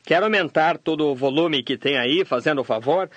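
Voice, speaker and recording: A man voicing a sermon translation, delivering it steadily and measured. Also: fairly high pitch, highs cut off, heavy background noise. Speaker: sermon interpreter voice-over. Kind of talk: translated sermon read aloud.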